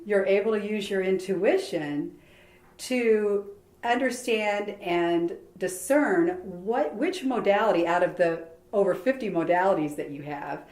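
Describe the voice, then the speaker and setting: A woman's voice: mid-range voice, a little slow, a little mellow. A woman hosting an interview, effusive and enthusiastic, heaping praise on her guest. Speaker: interview host. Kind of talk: casual interview chat. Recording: echoey room.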